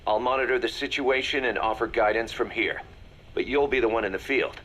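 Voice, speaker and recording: An actor playing a serious military second-in-command in a video game, briefing in a businesslike, measured manner. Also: male voice, mid-range voice, fairly bright tone, a little hiss or hum, close to an everyday voice, rough recording.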